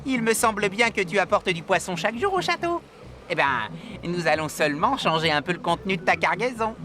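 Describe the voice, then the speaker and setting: An actor voicing a small, bold, reckless toy adventurer in an animated cartoon dub, exclamatory, eager and cocksure. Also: mid-range voice, a little fast, faint music behind.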